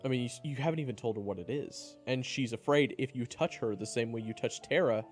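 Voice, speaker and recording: A man's voice: medium pitch, fast, soft background music, lightly acted. A man playing a sardonic adventurer who narrates his own tale in a casual audio drama style, in a roomy, echoing recording. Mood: earnest, wary. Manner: wry, casual. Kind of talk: first-person dramatic narration and acting.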